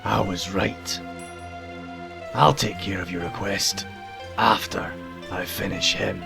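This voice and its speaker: A menacing, terse bounty hunter acted by a man for an animated game dub. The voice is low and extremely gravelly, with clear music playing behind. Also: slow, heavily acted. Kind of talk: anime-style game fan dub acting.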